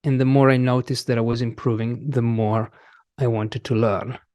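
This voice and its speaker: A man's voice: medium pitch, flat delivery, a little mellow, no echo. A man in his thirties from Italy with an Italian accent, an English teacher talking in a casual interview and often restarting his sentences. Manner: slow, halting, many restarts and fillers.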